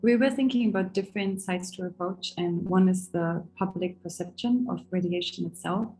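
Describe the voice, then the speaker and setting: A woman's voice: lowish voice, normal speed, mellow, faint music behind, rough recording. Earnest woman from Germany asking questions. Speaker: student interviewer. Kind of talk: reading prepared interview questions.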